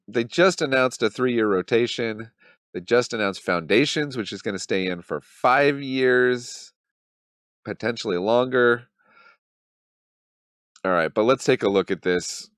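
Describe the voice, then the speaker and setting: A man's voice: on the low side, a bit bright, smooth, no echo, clean studio sound. A man in his thirties hosting a casual commentary channel, talking with a cold and thinking aloud in a wry, loose way. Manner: wry, mock-dramatic, thinks aloud with many ums. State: has a cold. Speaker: channel host.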